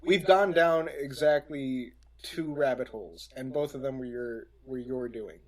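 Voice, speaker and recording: A young man with a medium-pitched voice chats as a podcast panelist. He is reflective and halting, restarting phrases as he works toward his point. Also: poor audio. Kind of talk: casual podcast discussion.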